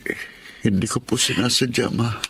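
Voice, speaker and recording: An actor playing a remorseful, unfaithful husband who pleads emotionally in a Tagalog radio drama. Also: male voice, mid-range voice, steady tone, moderate pace, a bit bright, slight background noise, close to an everyday voice. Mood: pleading, ashamed. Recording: music behind the voice.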